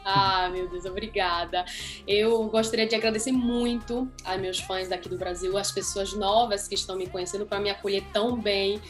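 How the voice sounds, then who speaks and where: A woman's voice: medium pitch, fairly even intonation, normal speed, soft background music. A young woman from Italy, Italian her first language, an upbeat singer answering interview questions in chatty, laughing style.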